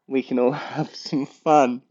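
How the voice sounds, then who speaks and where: A man's voice: mid-range voice, level tone, takes it slowly, darkish tone. Guy with a blocked nose rambling solo on his podcast, medium voice, kinda muffled lo-fi sound. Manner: rambling, self-correcting, playfully goofy. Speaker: podcast host.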